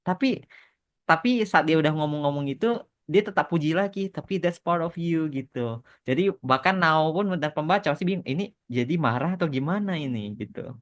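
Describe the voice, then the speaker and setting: A man's voice: fairly high pitch, animated, brisk. An Indonesian man in a casual podcast Q&A, explaining things with repeated words for emphasis and slipping English phrases into his talk. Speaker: podcast host and animation author answering questions.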